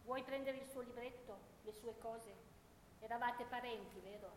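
A woman's voice: on the high side, moderate pace, natural voice. Actress as a careful woman in echoing hall. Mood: careful, sober. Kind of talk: theatrical radio drama dialogue. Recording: echoey stage hall, faint noise.

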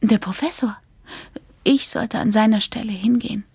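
Breathy, drowsy young woman. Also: on the high side, moderate pace, a little mellow, no echo, exaggerated voice. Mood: confiding, uneasy. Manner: breathy, half-whispered, weary. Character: drowsy, frightened, affectionate young woman confiding in lover. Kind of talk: intimate radio play acting.

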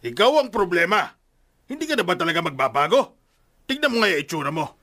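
Radio drama role: stern middle-aged father, furious and scolding, high sharp voice with big swings in pitch. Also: sing-song, a little fast, full character voice. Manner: scolding, confrontational, forceful.

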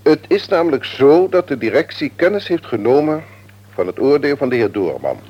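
An actor playing a stern, pompous company executive in a radio play, with officious, formal phrasing. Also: male voice, a little high, sing-song, moderate pace, muffled recording. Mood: stern, reproving. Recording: old radio play recording.

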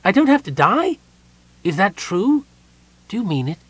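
A man putting on a high bubbly little kender. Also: big pitch swings, moderate pace, no room sound. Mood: excited. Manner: bubbly, chattering, stumbles over words. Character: small excitable male kender, childlike and curious.

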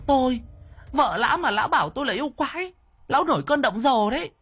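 A man voicing a sharp-tongued, shrewish village wife who scolds shrilly. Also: very high-pitched, expressive, fast, slightly rough, pitched above the performer's own voice, exaggerated voice. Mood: scolding.

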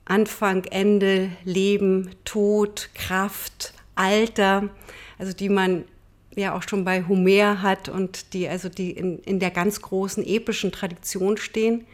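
A woman's voice: mid-range voice, flat delivery, slow. A woman speaking thoughtfully in an interview, often listing ideas word by word. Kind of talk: reflective interview.

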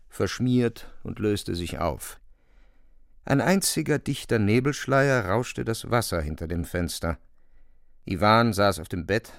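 A man narrating a literary radio play in a deliberate, expressive storytelling manner, close to the microphone. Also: on the low side, creaky, no room sound.

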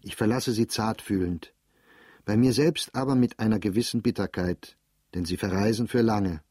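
A man with a breathy, slightly low voice reads a diary aloud for radio. His delivery is measured, calm and detached. The close studio recording is dry, with no room sound. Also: no echo. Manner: measured, calm, detached first-person reading. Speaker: narrator reading a diary. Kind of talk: scripted literary diary reading for radio.

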